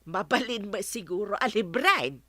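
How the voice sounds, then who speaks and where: A woman with an Ilocano accent playing a teasing, unhurried village woman in a radio comedy drama. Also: mid-range voice, lively intonation, sharp tone, no echo.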